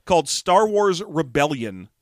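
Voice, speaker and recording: A male podcast co-host chats about a book in a loose, joking way and keeps slipping into quick impressions between laughs. His voice sits a little higher than most men's. The sound is dry and close, with no room around it. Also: big pitch swings, dry recording. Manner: jokey, laughs often, puts on quick impressions. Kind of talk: casual chat discussing a book.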